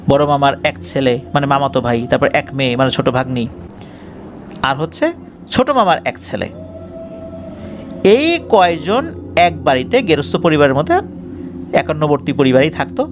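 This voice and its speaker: A man's voice: on the high side, background noise. A man narrating a scripted ghost story in a dramatic storytelling style. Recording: music bed behind the voice.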